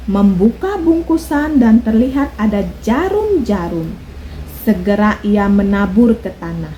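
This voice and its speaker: Slow, mellow woman storyteller. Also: medium pitch, lo-fi sound, a little hiss or hum. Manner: slow, expressive. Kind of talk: children's storytelling.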